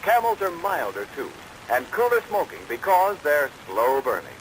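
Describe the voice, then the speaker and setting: A man's voice: fairly high pitch, huge pitch swings, moderate pace, slight background noise, rough recording. An American man reading 1940s radio advertising as an announcer, with a polished salesman's delivery. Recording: old radio broadcast, rain overlaid.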